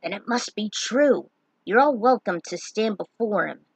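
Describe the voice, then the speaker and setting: A woman's voice: mid-range voice, lively intonation, measured pace, creaky, breathy edge, no echo, exaggerated voice. A woman voicing a wary castle guard who speaks in archaic fantasy style. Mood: apologetic, grave. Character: wary, old-fashioned castle guard in navy armor.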